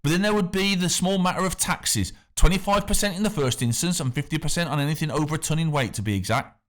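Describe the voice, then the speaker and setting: A man's voice: a little high, fast, fairly bright tone, voice pushed up, natural voice. A man voicing a male tax factor who speaks affably with an underlying menace. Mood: smooth menacing. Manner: smooth talk turning to snapping threats.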